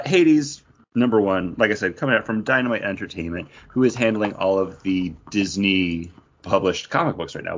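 A man hosting a podcast, chatting casually about comic books. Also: deep voice. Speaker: podcast host. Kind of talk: casual comic book discussion. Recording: dull, muffled lo-fi audio.

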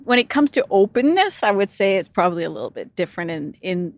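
Brief: middle-aged woman from Denmark, podcast guest, relaxed reflective chat, dry sound. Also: mid-range voice, quick pace, dry recording. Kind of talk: casual interview conversation.

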